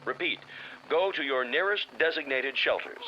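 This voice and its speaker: A man's voice: medium pitch, animated, normal speed, a crowd in the background. An American actor playing a formal, grave male announcer reading an emergency bulletin through a radio filter in a drama. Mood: grave, official. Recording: dull, noisy old radio broadcast.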